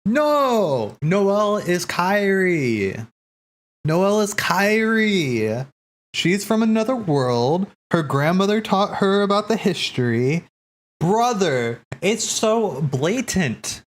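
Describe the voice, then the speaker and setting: A man hosting a watch party, giving casual live reaction commentary in a musing, halting way as he thinks aloud. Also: high voice, sing-song. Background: game soundtrack music.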